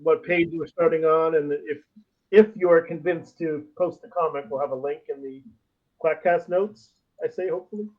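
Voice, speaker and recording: Higher-pitched man, muffled and lo-fi. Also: moderate pace. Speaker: podcast participant. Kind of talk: casual podcast chat. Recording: dull, lo-fi, off-mic voice.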